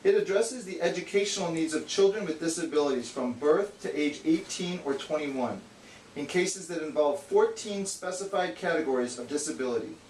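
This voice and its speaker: A man's voice: fairly high pitch, moderate pace, a little mellow, natural voice. A man voicing a bookish, exasperated know-it-all puppet, explaining in a didactic, slightly condescending way in an amateur educational puppet show. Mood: earnest, didactic. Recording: echoey room, distant mic, hissy noise.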